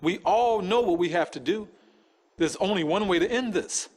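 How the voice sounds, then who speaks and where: An actor playing a resolute rebel leader and politician in a game cutscene, speaking earnestly. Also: male voice, high voice, moderate pace, a little mellow, slight background noise, close to an everyday voice. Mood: grim resolve. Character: resolute male rebel leader turned planetary president. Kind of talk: dramatic game cutscene acting.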